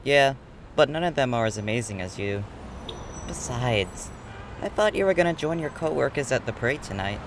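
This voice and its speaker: An actor plays an introverted young man in an audio drama, wry and quietly fond. His voice is medium in pitch and moves around freely, over faint background noise. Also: lively intonation, moderate pace, lightly acted. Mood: fond, reluctant.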